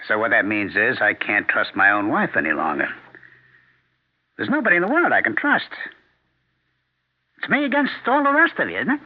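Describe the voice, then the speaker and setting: An actor playing an embittered, paranoid husband in a 1970s radio drama, needling and sarcastic with rhetorical questions. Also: male voice, medium pitch, very animated, moderate pace, long pauses. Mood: accusing, bitter. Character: embittered, paranoid husband turning on his wife. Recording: muffled, narrow-band 1970s radio recording.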